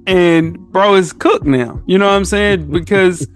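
A man's voice: high-pitched, measured pace, soft background music. An American man chatting casually as a podcast guest, in slangy talk full of "man", "bro" and "you know". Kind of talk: casual chat.

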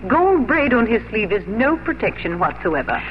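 An actress playing a worldly, opinionated older lady confidante with a crisp, knowing manner, in 1950s radio drama. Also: mid-range voice, sing-song, normal speed, soft background music, close to an everyday voice. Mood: amused, knowing. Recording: dull noisy old radio broadcast.

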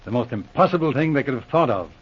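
An actor playing a thoughtful, kindly police sergeant who reasons deliberately, in 1940s radio drama style. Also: male voice, medium pitch, lively intonation, slightly rough. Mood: thoughtful, puzzled. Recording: muffled vintage radio recording.

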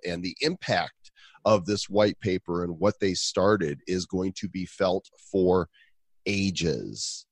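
Jokey podcast host with a nasal voice. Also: male voice, deep voice, breathy edge, dry recording. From Illinois, Chicago area. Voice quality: slightly nasal. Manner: playful, jokey, quick asides. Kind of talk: casual podcast chat and read ad.

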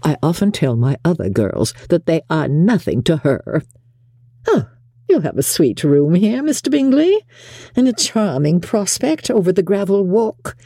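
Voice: medium pitch, big pitch swings, a little slow, dark tone, no echo, studio quality, pitched above the performer's own voice. An audiobook narrator voicing a fussy, effusive middle-aged mother with a gushing, emphatic manner in a period novel.

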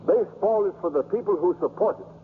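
An actor playing a formal, authoritative baseball commissioner with a pompous official manner in a 1950s radio drama. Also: male voice, high-pitched, fast, close to an everyday voice. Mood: stern. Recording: muffled noisy old radio broadcast.

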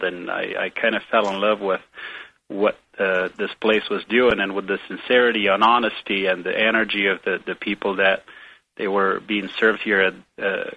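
A middle-aged man from Romania with a Romanian accent, speaking as an interview guest, hesitant with many fillers and restarts. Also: fairly low pitch. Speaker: guest, foundation president. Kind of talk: casual interview conversation. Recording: tinny phone line, dry.

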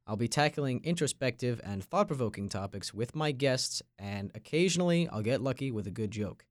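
A man's voice: medium pitch, animated, brisk, no echo, studio quality. A young man in his late teens from Long Island, New York, hosting a podcast and interviewing guests in a conversational way. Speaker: podcast host. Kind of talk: scripted intro and casual interview.

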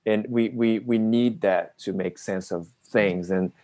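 A man's voice: on the low side, unhurried, darkish tone. A man from Brazil, a film writer-director answering interview questions in a hesitant way, with many restarts and small laughs.

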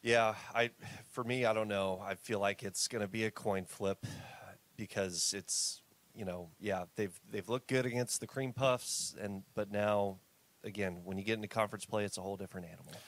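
A man's voice: lowish voice, fairly even intonation, normal speed, fairly bright tone. A man in his early thirties co-hosting a sports podcast, easygoing and hedging with lots of filler. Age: 30s. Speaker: podcast co-host. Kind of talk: casual sports chat.

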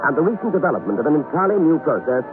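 A man's voice: on the high side, very animated, racing, over music. A man reading as an announcer in a 1960s radio advertisement. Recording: muffled old radio recording.

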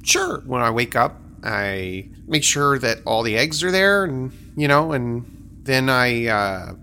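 A man's voice: medium pitch, expressive, slow, sharp tone, slight background noise, heavily acted. A man playing a chatty, blunt male blue jay, rambling with many fillers in improvised comedy.

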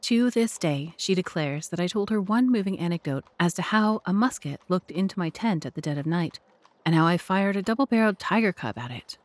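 A woman's voice: on the low side, expressive, fairly quick, dry recording. A woman narrator and host reading scripted narration for an audio drama.

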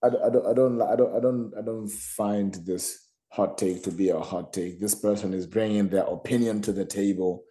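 Man, podcast co-host, low pitch, mellow tone, halting, repeats words. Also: fairly even intonation. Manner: halting, repeats words before making a point. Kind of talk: casual chat.

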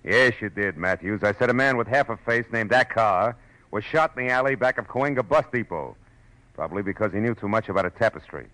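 A man's voice: fairly low pitch, animated, fairly quick, bright, forceful tone, dry recording, low-quality recording. An actor playing a wry, world-weary private detective, terse and sardonic, telling his case in a 1940s radio drama. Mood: brisk. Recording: vintage radio drama with music.

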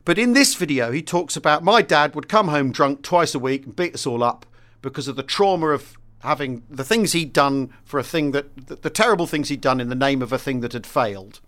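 Co-host: man, talkative, raised pitch, restarts sentences as he goes. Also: brisk. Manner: animated, repeats and restarts phrases. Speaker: podcast co-host. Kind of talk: casual conversational history chat.

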